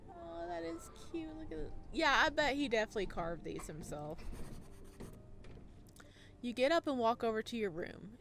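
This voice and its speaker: A playful, expressive woman streamer reading a visual novel aloud and adding her own reactions. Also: fairly high pitch, moderate pace, gravelly, long pauses, soft background music. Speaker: video game streamer.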